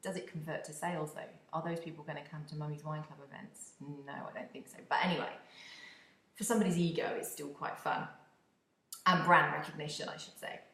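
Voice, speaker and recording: A woman from the UK with a British accent, hosting a solo podcast in a chatty, expressive way with wry asides. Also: sits low, fairly quick. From United Kingdom. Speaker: podcast host. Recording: echoey room.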